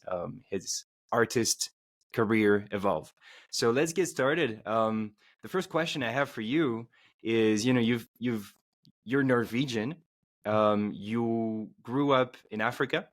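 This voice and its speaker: Low-voiced podcast host, measured. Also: male voice. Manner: measured, frequent ums. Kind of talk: interview introduction and questions.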